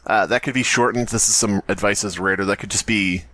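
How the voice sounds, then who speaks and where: A man's voice: lowish voice, fairly even intonation, quick pace, pushed and bright, no room sound. A man hosting a podcast, reading a horror story aloud and bantering in a joking, sweary, theatrical way. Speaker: podcast host.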